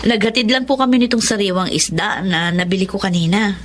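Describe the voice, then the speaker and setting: A woman's voice: mid-range voice, fairly even intonation, brisk, slight background noise, lightly acted. A woman playing an apologetic, polite single mother in a Filipino radio drama, with a Filipino accent. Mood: polite, friendly.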